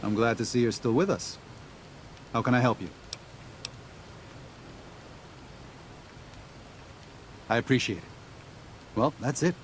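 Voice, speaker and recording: An actor playing an earnest, weary pacifist missionary in a video game, moralising in a pleading manner. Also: male voice, medium pitch, fairly quick, noisy background, natural voice. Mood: weary, resigned.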